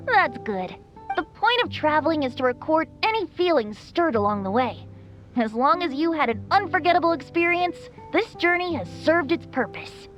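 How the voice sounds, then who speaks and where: Lilting, high-voiced boyish bard. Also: female voice, lively intonation, normal speed, fairly bright tone, faint music behind. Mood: gentle, cheerful. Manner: airy, teasing, theatrical. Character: playful, carefree boyish male bard, secretly a god. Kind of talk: video game voice acting.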